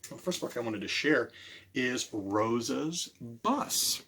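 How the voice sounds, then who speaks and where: A man hosting a library storytime for children, animated and speaking directly to his listeners as he presents and tells stories. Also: mid-range voice, takes it slowly, a little hiss or hum. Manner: animated, repeats key phrases, addresses listeners directly.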